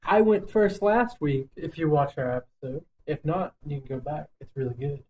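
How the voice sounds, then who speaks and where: A man co-hosting a podcast, chatting casually about movies in an opinionated, animated manner. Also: fairly high pitch, normal speed, rough recording. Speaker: podcast co-host.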